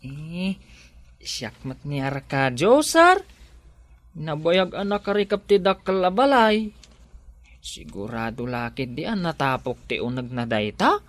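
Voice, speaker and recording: A man's voice: a little high, big pitch swings, unhurried, a bit bright. A man playing a humble village man who offers to help, polite and chatty in a radio drama. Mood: eager. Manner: polite, repeatedly says sir, chatty.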